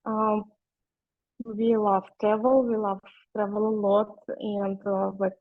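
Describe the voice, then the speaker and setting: A woman's voice: medium pitch, flat delivery, slow pace, dry recording, phone-quality sound. A woman in her forties from Russia with a Russian accent, a non-native speaker giving a webinar presentation, hesitant and full of fillers. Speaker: guest speaker.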